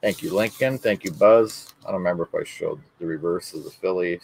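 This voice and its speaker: Low, creaky-voiced man hosting an auction. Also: brisk, poor audio. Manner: folksy, courteous, calls bidders buddy and brother. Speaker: auction host showing coins. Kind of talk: casual live auction chat.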